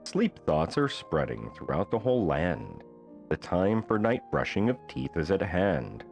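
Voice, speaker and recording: A man reading a rhyming children's bedtime book aloud as an expressive storyteller. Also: deep voice, measured pace, vocal fry, highs cut off, faint music behind.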